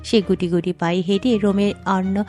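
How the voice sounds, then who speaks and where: A woman's voice: mid-range voice, fairly even intonation, moderate pace, mellow, faint music behind. A woman narrating a scripted dramatic story as its storyteller.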